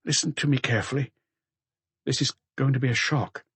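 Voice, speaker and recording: A man's voice: medium pitch, steady tone, fairly quick, fairly bright tone, breathy edge, dry recording, voice pushed up. A man voicing a shaken middle-aged husband confessing to murder, hesitant and subdued, in an audiobook. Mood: tense, confessing.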